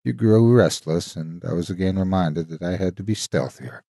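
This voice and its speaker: Man narrating as husky, devoted ghostly guardian. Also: sits low, normal speed, mellow, no room sound, clean studio sound. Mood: tender, wistful. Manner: tender, reminiscing, folksy. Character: devoted, wistful ghostly guardian, an old army comrade. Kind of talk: dramatic first-person horror storytime narration.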